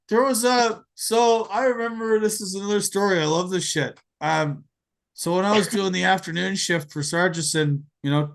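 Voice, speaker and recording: An American man in his forties hosting a podcast, telling stories in a casual, animated way, swearing freely and stumbling over repeated words. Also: high-pitched, creaky. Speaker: podcast host. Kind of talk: casual storytelling chat.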